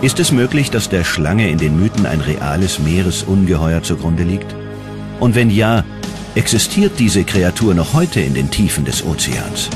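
A man with a very low voice narrates a scripted documentary. His delivery is dramatic and measured, with strongly varied, animated intonation. Music plays behind his voice.

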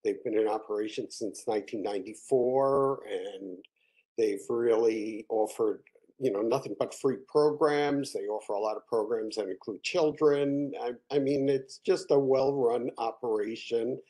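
A man presenting a resolution in a video-call board meeting, measured and explanatory with frequent fillers. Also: medium pitch, mellow, low-quality recording. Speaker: committee chair presenting a resolution.

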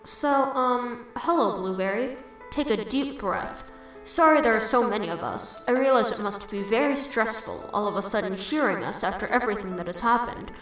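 A woman's voice: fairly high pitch, normal speed, fairly bright tone, lightly acted. A woman voicing an unseen, sympathetic fan who reads out questions to the hero in a comic dub. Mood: gentle, supportive. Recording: heavy echo over background music.

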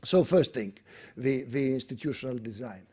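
A middle-aged man with a Greek accent speaks from a podium in a conference room. His voice is warm and mellow, and he works through his points with frequent fillers and restarts. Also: medium pitch, moderate pace, breathy edge. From Greece. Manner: hesitant, many fillers and restarts. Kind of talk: unscripted lecture.

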